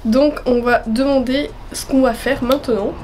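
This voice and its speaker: A woman's voice: high voice, fairly even intonation, moderate pace, darkish tone, slight background noise. A young woman in her twenties chatting in a casual vlog, her voice worn from a chest cold, upbeat and full of fillers. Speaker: vlogger. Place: at home, partly out on a terrace.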